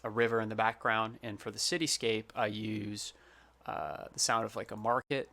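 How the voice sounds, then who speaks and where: Bright-voiced man explaining, fairly low pitch. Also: fairly even intonation, normal speed, creaky, slight background noise. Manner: explanatory, plain-spoken. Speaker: sound designer presenting his project. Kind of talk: informal tutorial explanation.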